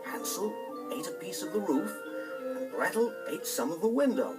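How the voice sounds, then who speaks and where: A man narrating a children's bedtime story in a dramatic, expressive scripted style. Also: high voice, moderate pace, recorded from a distance. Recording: vinyl record with music behind.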